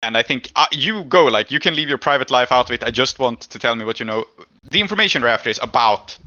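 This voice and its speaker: A man's voice: mid-range voice, expressive, fast talker, bright, forceful tone, lo-fi sound. A man running a tabletop game as game master, improvising the story and thinking aloud as he goes.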